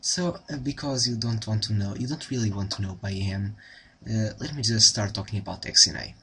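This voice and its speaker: Man, Portuguese accent, young adult, tutorial host, hesitant, bright tone, lowish pitch. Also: brisk, a little hiss or hum. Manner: hesitant, many ums and restarts. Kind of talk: casual spoken tutorial.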